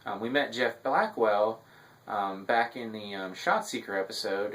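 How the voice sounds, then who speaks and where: A man delivering a semi-scripted recap and review as commentary, with a halting delivery full of filled pauses. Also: mid-range voice, fairly bright tone, low-quality recording. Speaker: TV episode reviewer.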